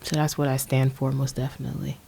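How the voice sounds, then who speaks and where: A young American woman in her twenties with a low-pitched voice speaks casually on a podcast. She is hesitant and often searches for the right word as she talks about herself. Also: level tone, fast, creaky, warm tone, slight background noise. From Indianapolis, USA. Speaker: podcast guest, young music artist. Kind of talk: casual conversational podcast introduction.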